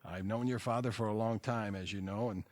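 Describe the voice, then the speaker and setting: A man playing a smooth-talking, scheming old family lawyer in an audio drama, cajoling and self-satisfied. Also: on the low side, fairly even intonation, fairly quick, no room sound, natural voice. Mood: reassuring. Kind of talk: audio theatre acting.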